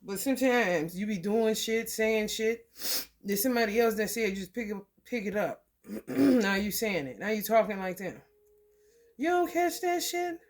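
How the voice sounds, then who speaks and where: A woman from the American Midwest giving a loose, profane tarot reading. Her throat is scratchy from allergies, and she keeps clearing it and laughing between thoughts. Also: mid-range voice, expressive, normal speed. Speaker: tarot reader. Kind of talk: casual spiritual reading to camera.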